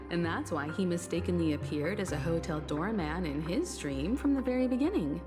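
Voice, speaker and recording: A woman voices a composed leader of adventurers in a video game, with expressive, lively intonation. She reasons thoughtfully, and music plays clearly behind her. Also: medium pitch, close to an everyday voice.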